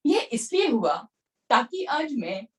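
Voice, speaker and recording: A woman's voice: high voice, big pitch swings, takes it slowly. A woman reading a devotional memoir aloud, recorded at some distance from the microphone. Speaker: book reader. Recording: distant, far from the mic.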